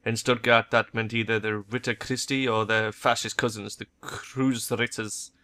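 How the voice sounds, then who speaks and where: A man's voice: fairly low pitch, moderate pace. Man reading as cold, detached cyborg woman. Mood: cool, painful recall. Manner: cool, detached monotone. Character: cold, guarded cyborg woman teammate with painful past.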